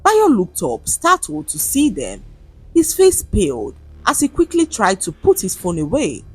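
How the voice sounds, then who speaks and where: A woman with a Nigerian accent narrating a scripted story in an expressive, dramatic manner. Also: medium pitch, unhurried, breathy edge, faint music behind, rough recording.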